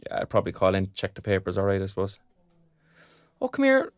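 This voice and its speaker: A man's voice: low-pitched, huge pitch swings, fast talker, smooth, dry recording, studio quality. An actor playing a kindly, chatty young graduate, a man with an Irish accent and a colloquial, concerned manner in a naturalistic audio drama. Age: young adult.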